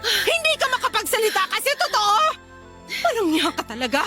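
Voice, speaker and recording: An actress playing a furious betrayed wife and older sister in a Filipino radio drama, scolding shrilly and sarcastically. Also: female voice, very high voice, big pitch swings, normal speed. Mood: sarcastic, enraged. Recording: music bed behind voice.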